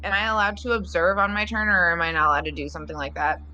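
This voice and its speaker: A woman playing a tabletop role-playing game, chatting casually in halting, hesitant phrases sprinkled with "like". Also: on the low side, pushed and bright, highs cut off. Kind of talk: casual chat during a game.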